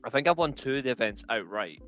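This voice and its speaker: A man's voice: mid-range voice, very animated, quick pace, over music. A tired man, co-hosting a casual live stream and rambling with lots of repeated words. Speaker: co-host.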